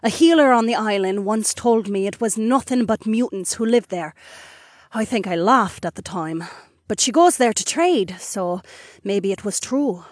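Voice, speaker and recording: A woman's voice: medium pitch, expressive, a little slow, dry recording, studio quality. A woman voicing a composed, candid, drily cautious female mage in audiobook dialogue. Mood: thoughtful.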